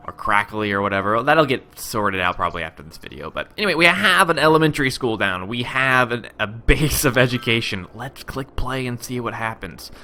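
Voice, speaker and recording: A young man talks through a city-building game in a rambling, self-interrupting way. He has just woken up, so his voice sits lower than usual, yet it stays bright and crisp. His pitch swings widely as he chats. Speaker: gaming video host. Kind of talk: casual let's-play commentary.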